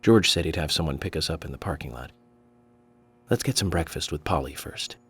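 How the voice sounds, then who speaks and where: An actor playing a serious, courteous lawman in a video game cutscene, measured and businesslike. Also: very deep voice, fairly bright tone, husky, full character voice. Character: serious, courteous male lawman on a murder case.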